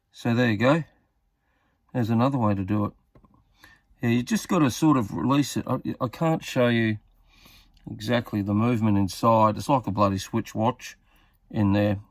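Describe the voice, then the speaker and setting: A man's voice: lowish voice, no room sound. A man giving a casual hands-on repair tutorial, talking tentatively and muttering as he works. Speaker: hobbyist demonstrating a radio repair.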